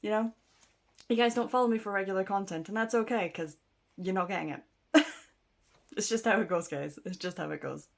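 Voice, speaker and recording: A woman's voice: mid-range voice, fairly quick, creaky, long pauses. A woman rambling casually to camera about her reading, sniffly from a cold, chatty and self-deprecating with frequent ums and laughs. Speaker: book vlogger. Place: indoors at home.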